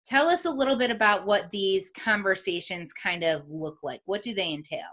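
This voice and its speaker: A woman's voice: medium pitch, normal speed, a bit bright. A woman with an upstate New York accent, hosting a casual interview with upbeat, chatty questions. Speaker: podcast host.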